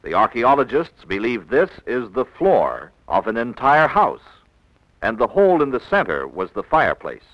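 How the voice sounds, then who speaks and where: An American man narrating a 1960s educational film in a measured, didactic, clearly enunciated way, on an old film soundtrack. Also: medium pitch, huge pitch swings, fairly bright tone, dry recording, poor audio.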